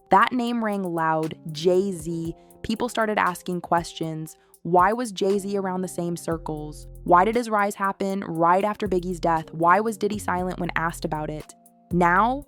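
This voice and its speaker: Low-pitched woman, dramatic narration over music. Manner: dramatic, emphatic short phrases. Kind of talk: scripted dramatic gossip narration. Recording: music bed under the voice. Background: background music.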